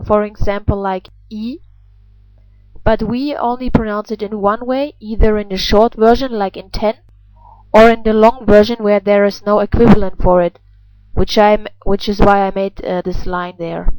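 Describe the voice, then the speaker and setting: A woman, a native German speaker with a German accent, giving an instructional pronunciation lesson as a language teacher, enunciating deliberately. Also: mid-range voice, steady tone, darkish tone, poor audio.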